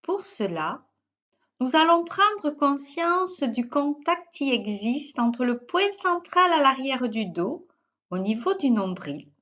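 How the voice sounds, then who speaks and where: A woman leads a guided meditation. Her voice sits fairly high, and she speaks very slowly. She gives instructions in short, measured phrases with pauses between them. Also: big pitch swings, a bit bright. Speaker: yoga teacher guiding a relaxation session. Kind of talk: guided yoga nidra meditation.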